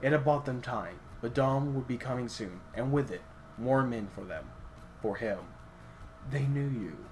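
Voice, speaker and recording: A man's voice: medium pitch, moderate pace, slight background noise. A man reading a game story aloud, with hesitant restarts and wry side remarks. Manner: reads with hesitant restarts, stops to comment. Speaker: story reader.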